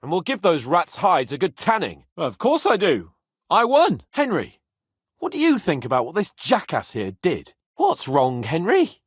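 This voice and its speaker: Haughty young British nobleman, animated voice. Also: high-pitched, moderate pace, slightly rough, no room sound, studio quality, heavily acted. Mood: indignant, bossy. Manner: haughty, teasing, theatrical. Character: brash young nobleman, cocky, witty, haughty but comradely. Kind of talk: medieval video game voice acting.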